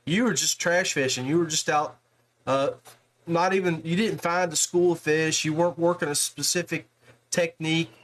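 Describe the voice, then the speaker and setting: A man's voice: fairly high pitch, fairly even intonation, moderate pace, a bit bright. A middle-aged man hosting a podcast, talking in an informal, rambling, joshing way. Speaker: podcast host. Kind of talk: casual interview.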